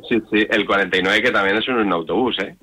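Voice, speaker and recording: A man with a fairly low, bright-edged voice hosts a sports radio talk show. He leads the chat with wry, rambling anecdotes. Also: fast talker, slightly rough.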